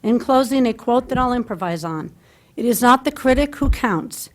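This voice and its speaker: A woman's voice: a little high, expressive, moderate pace. A middle-aged woman giving a prepared, formal and earnest campaign statement at a local candidates forum, speaking into a table microphone. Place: public candidates forum in a meeting hall.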